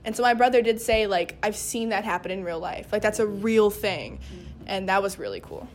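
A woman's voice: medium pitch, lively intonation, normal speed, slight background noise. A teenage girl chatting casually and emphatically as a cast member, rambling as she goes. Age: teens. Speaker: young cast member of a play. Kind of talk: casual chat.

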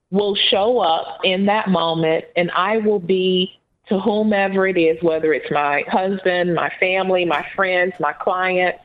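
A woman with a Southern US accent, co-hosting a podcast in an earnest, encouraging, unhurried manner. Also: lowish voice. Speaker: podcast co-host. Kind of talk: casual podcast chat. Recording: phone-like narrow band.

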